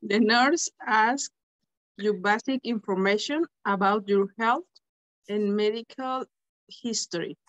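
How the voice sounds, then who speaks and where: Adult woman learner, Spanish native, halting reading, slow and muffled. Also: mid-range voice, fairly bright tone. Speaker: English student. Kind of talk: reading aloud in an online English lesson.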